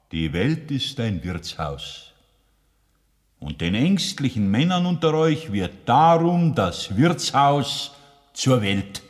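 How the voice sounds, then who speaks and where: An actor playing a solemn, scolding preacher in a pompous, declamatory radio-drama monologue. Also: male voice, mid-range voice. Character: solemn, scolding preacher thundering about drinkers and cowards. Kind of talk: theatrical 1960s German radio drama monologue. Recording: long echoing reverb, 1960s radio production.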